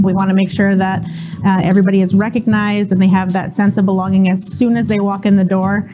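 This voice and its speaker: A woman's voice: mid-range voice, background noise. Earnest woman school principal. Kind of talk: scripted school address. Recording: dull lo-fi sound.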